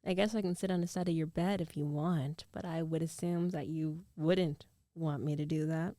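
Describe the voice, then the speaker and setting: A woman playing a concerned, teasing young ex-girlfriend in an intimate ASMR roleplay, speaking softly and haltingly into a close microphone. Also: deep voice, steady tone, dry recording, close to an everyday voice. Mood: apologetic, worried.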